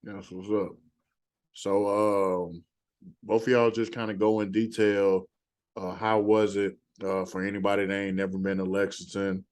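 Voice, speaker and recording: A man's voice: lowish voice, level tone, a little slow, darkish tone, clear, resonant tone, no room sound, clean studio sound. A man hosting a podcast, asking plain questions with fillers. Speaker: podcast host. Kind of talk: interview questions.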